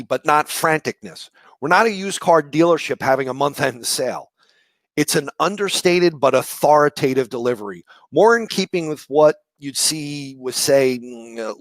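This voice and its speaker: A man with a slightly raspy, hoarse voice presenting a live online marketing session, conversational and explanatory with rhetorical questions. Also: on the high side, no echo. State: hoarse from shouting the day before.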